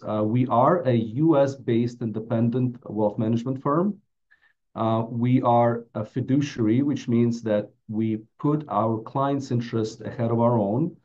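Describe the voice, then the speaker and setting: Mellow man, dull audio. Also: medium pitch. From Latvia. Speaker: financial advisor presenting a webinar. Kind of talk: prepared webinar presentation. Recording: dull, muffled webinar audio.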